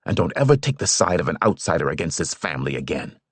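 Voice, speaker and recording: A man's voice: deep voice, sing-song, fast talker, slightly rough, no room sound, full character voice. A man reading aloud, voicing a stern, commanding older father who rules his family firmly.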